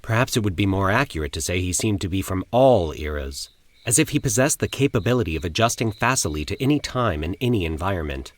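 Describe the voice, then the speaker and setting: Low-voiced man narrating horror, very expressive. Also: dry recording. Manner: formal, literary, measured. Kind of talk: scripted first-person story narration.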